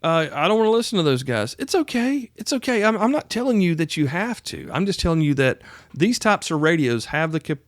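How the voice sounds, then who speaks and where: A man's voice: on the high side, no room sound, clean studio sound. A man hosting an instructional podcast in a plain-spoken, folksy, emphatic way, often checking in with the listener. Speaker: podcast host, radio instructor.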